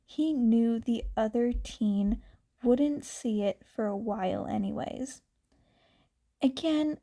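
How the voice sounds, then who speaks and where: Woman reading fanfic out loud, real slow and mellow, kinda high. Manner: slow, quiet, calm reading. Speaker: podfic reader. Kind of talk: reading fan fiction aloud.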